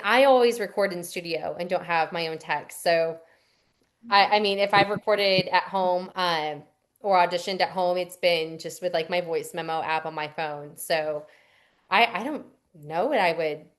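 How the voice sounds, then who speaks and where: A woman's voice: lowish voice, steady tone, normal speed. A young adult woman, a voice actress answering interview questions in a chatty, rambling way with fillers and restarts. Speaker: voice actress guest.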